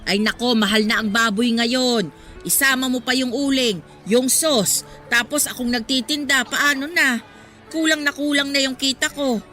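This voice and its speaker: A woman's voice: sits high, fairly even intonation, moderate pace, sharp tone. An actress playing a nagging, gossipy older woman who sells barbecue in a Filipino radio drama, chatty and preachy. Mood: brisk, complaining. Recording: music under the dialogue.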